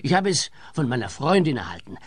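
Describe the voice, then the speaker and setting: An actor playing a lovesick, easily outraged suitor in a comic radio play, whiny and stammering with pedantic indignation. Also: male voice, mid-range voice, very animated, fairly quick, husky. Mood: defiant, smug.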